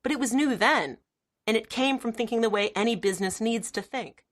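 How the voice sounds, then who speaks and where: A woman's voice: a little high, a little fast, lightly acted. A woman performing as the narrator of a business audio drama, reading a scripted story. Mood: upbeat storytelling. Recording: music bed behind the voice.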